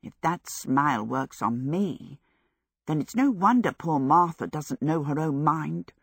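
A woman's voice: fairly low pitch, very animated, moderate pace, a bit bright, slightly rough, no room sound, clean studio sound, heavily acted. Woman as a grumbling, sarcastic old woman. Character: grumpy, sarcastic old woman, achy and stubborn.

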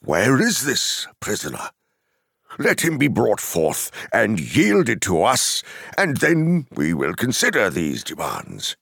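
A man voicing a stern, defiant wizard in a fantasy story, declaiming with forceful, indignant authority. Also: fairly low pitch, wildly swooping pitch, takes it slowly, creaky, breathy edge, no room sound, clean studio sound, voice pushed up, heavily acted. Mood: stern challenge.

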